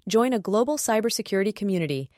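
Animated female announcer, very dry sound. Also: mid-range voice, fast talker, no echo. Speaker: advertisement announcer. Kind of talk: scripted promotional read.

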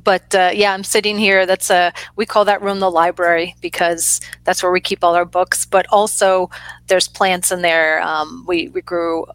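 Woman in her 30s, author guest, lower voice, easygoing friendly chat, home library, dry video call sound. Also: level tone, moderate pace, a bit bright, clear, resonant tone, no room sound, rough recording. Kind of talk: casual interview answers.

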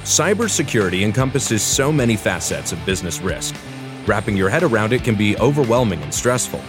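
A man's voice: fairly low pitch, big pitch swings, fairly quick. A man reading a scripted podcast intro in a polished announcer style. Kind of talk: scripted intro over music. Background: guitar music.

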